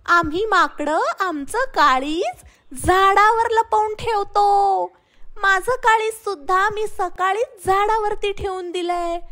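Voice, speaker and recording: A woman voicing an excitable, clever male monkey in a children's story, with hooting exclamations and a bouncy delivery. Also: very high voice, pitched above the performer's own voice, heavily acted.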